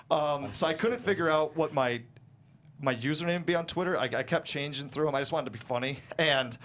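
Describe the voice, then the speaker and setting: A man's voice: on the high side, a little fast, fairly bright tone. A man talking casually as a guest screenwriter, rambling and self-deprecating as he goes. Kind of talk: casual conversation.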